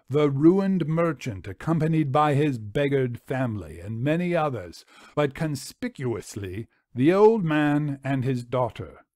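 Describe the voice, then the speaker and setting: Guy doing a haunted old-time gentleman telling a dark tale, grave, really slow, dry studio-like sound. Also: mid-range voice, dry recording, pitched above the performer's own voice. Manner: grave, deliberate, literary storytelling. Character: haunted first-person male narrator of a Victorian mesmeric tale. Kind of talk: dramatic story reading.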